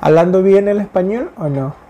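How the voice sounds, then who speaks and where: A man's voice: sits high, moderate pace, a little hiss or hum. A man in his thirties from India speaking Spanish as a learned language with an Indian accent, chatting to camera with many fillers and repeats, recorded on a lapel mic in a small room. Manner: animated, gesturing hands. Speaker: vlogger. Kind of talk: casual talk to camera. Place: small indoor room in front of a blue wall.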